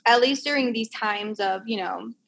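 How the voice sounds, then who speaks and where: A woman's voice: a little high, creaky, a bit bright. Young puerto rican woman talking it through, lots of ums, faint hiss behind. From Puerto Rico. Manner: earnest, hesitant with "um" pauses. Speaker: guest. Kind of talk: casual conversational discussion. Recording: faint background noise.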